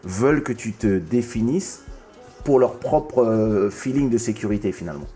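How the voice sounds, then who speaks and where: A man with a lowish voice, from Congo, hosting a relaxed conversation. He talks at length, stumbling and restarting his thoughts as he goes. Faint music plays underneath. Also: moderate pace. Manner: talkative, stumbles and restarts often. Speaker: podcast host. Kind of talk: casual chat.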